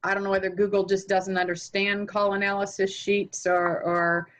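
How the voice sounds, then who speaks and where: A woman's voice: medium pitch, flat delivery, normal speed, a bit bright. A woman presenting at an online session, asking plain, direct questions over a video call. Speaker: guest presenter. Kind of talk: casual online meeting chat.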